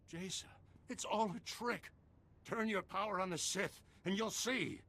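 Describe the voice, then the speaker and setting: An actor playing an anguished, scolding old Jedi master in a video game, with a gravelly, rasping voice. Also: male voice, high voice, pushed and bright, heavily acted. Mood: desperate pleading. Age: older. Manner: dramatic, slow, pleading and scolding.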